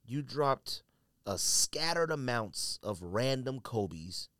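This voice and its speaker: Emphatic American man with animated pitch swings. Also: clear, resonant tone, no echo, clean studio sound. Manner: emphatic, repeats phrases for stress; colloquial, slangy. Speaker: podcast host. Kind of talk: opinionated solo sports and sneaker commentary.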